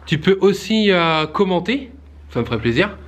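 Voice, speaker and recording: Man filming his renovation work, halting. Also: high-pitched, very animated, a little hiss or hum. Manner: hesitant, many fillers and restarts, explaining as he films. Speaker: renovation vlogger. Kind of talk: casual walkthrough narration. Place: stone-walled basement under renovation, indoors. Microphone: handheld camera, close to face.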